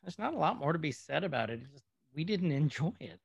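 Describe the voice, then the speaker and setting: A man's voice: on the high side, big pitch swings, quick pace, creaky, slightly rough. A man co-hosting a podcast, chatting casually and with opinions about old movies. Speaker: podcast co-host.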